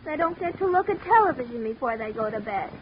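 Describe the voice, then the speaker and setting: A woman's voice: on the high side, lively intonation, quick pace, natural voice. A woman playing a bratty, tough, whiny little girl in a 1940s radio drama. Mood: blunt, matter-of-fact. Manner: whiny, blunt. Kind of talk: 1940s radio child-role acting. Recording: muffled 1940s radio recording, faint noise.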